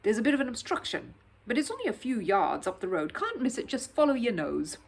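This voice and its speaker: A woman's voice: fairly high pitch, very animated, brisk, gravelly, breathy edge, exaggerated voice. A woman voicing a friendly, blunt working-class male taxi driver in a storybook, talking colloquially. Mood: matter-of-fact. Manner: colloquial, matter-of-fact, concerned.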